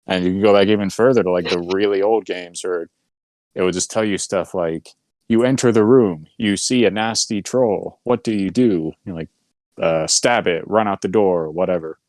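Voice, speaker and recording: Low-voiced man in casual podcast chat. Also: moderate pace, a little mellow, no room sound. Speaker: podcast co-host.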